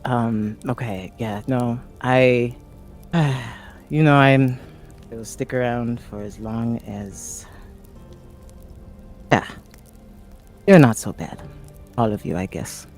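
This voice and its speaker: A tabletop game player role-playing in a casual, sweary way. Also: female voice, a bass voice, slow pace, long pauses, darkish tone, faint music behind. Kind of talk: casual in-character role-play.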